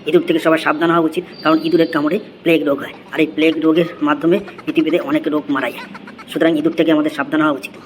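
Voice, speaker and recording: Man playing a rattling, rapid-fire cartoon schoolboy. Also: a little high, flat delivery, a little hiss or hum, lightly acted. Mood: rote, insistent. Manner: rattling, rote essay recital. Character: eager schoolboy obsessed with rats, reciting essays. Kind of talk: comedy cartoon recitation.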